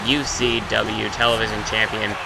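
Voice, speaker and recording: A young man doing excited play-by-play for wrestling matches. His voice jumps up loudly on big moves, and he often restarts his phrases. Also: lowish voice, normal speed, vocal fry, heavy background noise. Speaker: play-by-play commentator for his own video game matches.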